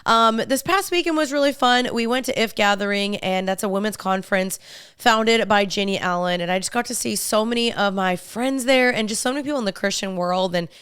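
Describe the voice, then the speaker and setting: A young woman chats through her podcast in a friendly, upbeat way, speaking right to her listeners. A lingering cold leaves her slightly nasal and congested. Her voice stays very smooth and clear, recorded close and dry with no room sound. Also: mid-range voice, fairly quick, a bit bright, no echo. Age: young adult. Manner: chatty and upbeat, addresses listeners as "you guys". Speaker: podcast host. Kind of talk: casual solo podcast chat.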